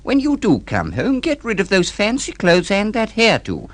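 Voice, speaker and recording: An actor playing a meek, middle-aged small-town storekeeper husband in a radio drama, dry, wry and put-upon. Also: male voice, sits high, wildly swooping pitch, moderate pace, fairly bright tone, no room sound, exaggerated voice. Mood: sternly exasperated. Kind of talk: mid-century radio drama acting.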